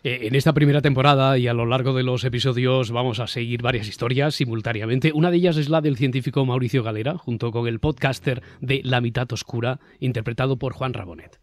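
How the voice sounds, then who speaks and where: A man's voice: mid-range voice, steady tone, fast talker, hardly any pauses, no room sound. A male host with a mid-range voice and a Castilian Spanish accent. He moderates a discussion in an articulate way, posing rhetorical questions. The recording is close and dry. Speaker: host of a round-table podcast. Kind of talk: semi-scripted panel moderation.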